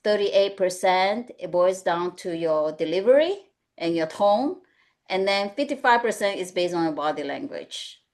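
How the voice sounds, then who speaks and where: A woman's voice: on the low side, animated, normal speed, a little mellow. Woman. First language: Mandarin. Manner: earnest, advice-giving, often adds "right?". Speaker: panelist, executive coach. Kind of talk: webinar panel discussion.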